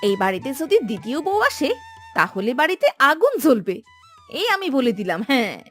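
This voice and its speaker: A woman's voice: high-pitched, big pitch swings, moderate pace, a bit bright, breathy edge, soft background music, exaggerated voice. A woman voicing an aggrieved, fiery young village wife in a cartoon, with a complaining, melodramatic manner. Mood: warning. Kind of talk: Bengali cartoon voice acting.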